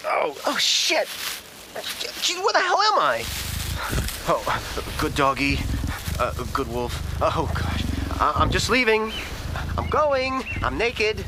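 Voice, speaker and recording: A man's voice: high voice, wildly swooping pitch, slow, background noise, full character voice. A male actor playing a flustered young American man in a comic radio drama, stammering and exasperated. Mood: groggy, panicked. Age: young adult. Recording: music behind the voice.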